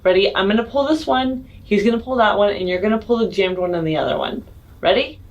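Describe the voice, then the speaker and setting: A woman's voice: medium pitch, fast, dull audio. A woman playing a tabletop role-playing game, improvising casually with blunt, bossy banter.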